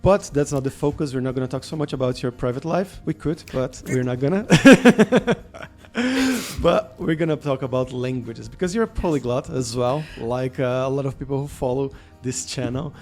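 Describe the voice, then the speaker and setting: A man hosts a casual interview over a video call, speaking at a mid pitch. He talks with enthusiasm and leans on "uh" and "like" as he goes. Faint music plays under his voice. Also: moderate pace. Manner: enthusiastic, many fillers like "uh" and "like". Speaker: interview host.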